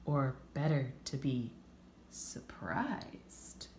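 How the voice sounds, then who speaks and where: An earnest storybook fox, read by a woman, wonders aloud in a thoughtful, questioning way. The delivery is unhurried and expressive, with some room echo. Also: rumbling low, in a whisper, exaggerated voice. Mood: wondering. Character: earnest, inquisitive male fox, wistful and searching.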